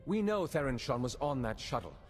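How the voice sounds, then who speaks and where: An actor playing a dry, formal, clipped imperial agent in a game cutscene. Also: male voice, medium pitch, sing-song, brisk, soft background music, natural voice. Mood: businesslike. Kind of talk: dramatic game cutscene acting. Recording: long reverb.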